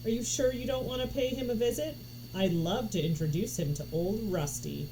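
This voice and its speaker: A woman's voice: mid-range voice, moderate pace, pitched above the performer's own voice, natural voice. Woman voicing a fierce, defiant storybook sword girl. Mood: eager, goading. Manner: bold, taunting. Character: fierce reckless sword-fighting girl game character, defiant and gleeful. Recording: noticeable background noise.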